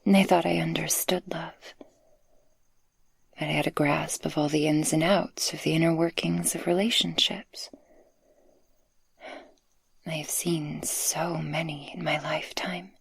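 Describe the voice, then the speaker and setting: A woman playing a tender succubus guardian in an intimate, soft-spoken ASMR roleplay, affectionate and breathy. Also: deep voice, level tone, measured pace, pauses often, heavily acted. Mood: shy, earnest. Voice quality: breathy, husky. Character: tender, possessive female succubus guardian, playful and shy in love.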